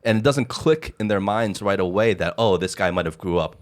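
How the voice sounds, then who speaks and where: A podcast host from Hong Kong talks casually and at length, his voice on the low side. He strings thoughts together with many fillers and "like"s. He laughs often as he goes. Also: fairly quick. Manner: rambling, lots of "like", laughs often. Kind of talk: casual conversation.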